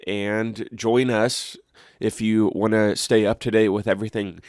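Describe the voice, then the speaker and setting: A man's voice: fairly low pitch, flat delivery, measured pace, a little mellow, no room sound, clean studio sound. A congested man hosting his own channel, reading news articles aloud and then chatting casually with fillers and restarts. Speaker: channel host.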